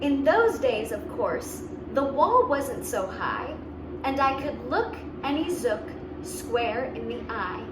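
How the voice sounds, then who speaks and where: A woman's voice: high voice, sing-song, slow pace. A woman reading a children's story aloud expressively, with simple explanatory asides for young listeners. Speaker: story reader and host. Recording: roomy, distant mic, clear background noise.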